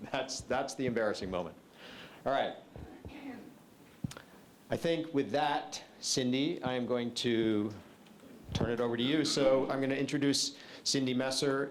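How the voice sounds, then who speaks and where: A man's voice: mid-range voice, slight background noise. Casual man moderating a public meeting. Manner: casual, lots of um fillers. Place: meeting hall.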